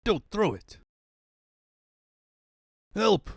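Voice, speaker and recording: A man acts out a tyrannical cartoon villain. He is bombastic and menacing, with cowardice under the bluster. His pitch swings widely and the sound is dry. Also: sing-song, very slow, husky, no room sound, heavily acted. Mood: panicked. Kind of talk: comic book fan dub.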